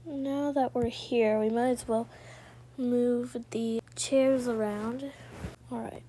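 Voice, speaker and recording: A soft-spoken woman casually narrating her own gameplay in a low-key roleplay style, often dropping to a whisper. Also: steady tone, slow, slight background noise, poor audio. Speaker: gaming video creator voicing her own gameplay.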